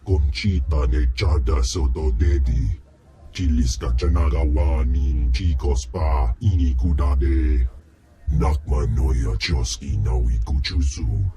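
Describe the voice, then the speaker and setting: A deep, slow alien crime boss. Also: male voice, mellow, soft background music, full character voice. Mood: menacing. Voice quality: deep rumbling alien speech. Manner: slow, menacing gibberish tongue. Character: bloated alien male crime lord, slow and domineering. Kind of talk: video game cutscene acting.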